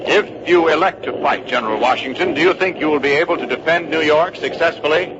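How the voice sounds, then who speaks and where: Man playing an eager American radio correspondent, higher voice. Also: fast, background noise, close to an everyday voice. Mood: solemn, respectful. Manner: crisp, urgent, descriptive. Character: eager, persistent male radio field correspondent. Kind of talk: 1940s radio news commentary, dramatized. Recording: dull vintage radio recording.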